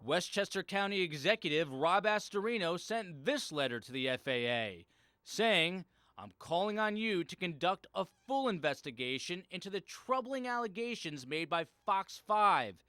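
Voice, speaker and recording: A man's voice: sits high, very animated, normal speed, pushed and bright, no echo. A man narrating a scripted investigative television news report as a reporter.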